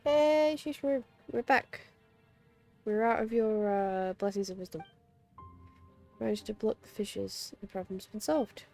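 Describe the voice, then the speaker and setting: Woman game streamer chatting casually, lots of vocal fry, game music clearly behind her. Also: medium pitch, steady tone, unhurried, long pauses, a little mellow. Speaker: game livestream host. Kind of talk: casual gameplay commentary, reading game text aloud.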